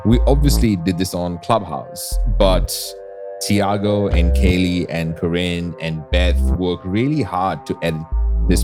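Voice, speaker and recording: An Australian man hosting a podcast, speaking earnestly and asking the listener pointed questions. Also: low voice. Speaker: podcast host. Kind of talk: scripted podcast narration.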